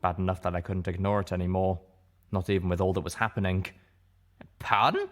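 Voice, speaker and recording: A man narrates scripted fiction in the first person. His voice is fairly low. The delivery is that of an audiobook reader telling a story. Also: flat delivery, fast talker.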